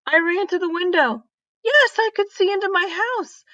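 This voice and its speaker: A woman voicing an eager, determined young girl detective in a children's storybook, earnest and excitable. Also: very high-pitched, moderate pace, no echo, muffled recording, pitched above the performer's own voice. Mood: excited, amazed.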